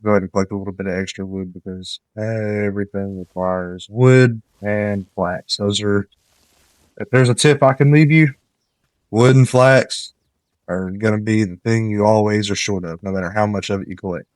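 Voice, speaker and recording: A man talks through his gameplay in an easygoing, wandering way and drops tips as he goes. His lowish voice sounds slightly nasal because he is coming down with a cold. The close desk mic keeps the sound dry, with no room echo. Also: moderate pace, smooth. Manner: relaxed, rambling, gives tips while playing. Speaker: gameplay commentator. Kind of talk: casual let's-play commentary.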